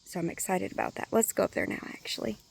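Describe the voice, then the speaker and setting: Brief: hoarse woman, congested, middle-pitched, easygoing garden walk-and-talk outdoors, faint background hiss. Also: quick pace. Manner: chatty, relaxed, thinking aloud. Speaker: gardening vlogger. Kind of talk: casual walk-through chat.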